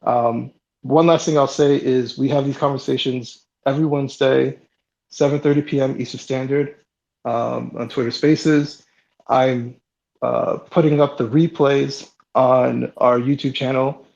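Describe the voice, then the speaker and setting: A sick man hosting a live online audio chat, talking in a relaxed, hesitant way with many ums and restarts. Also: mid-range voice, a little slow, creaky. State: sick, voice deeper than usual. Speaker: host. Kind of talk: casual closing remarks on a live audio chat. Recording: slightly dull lo-fi online audio.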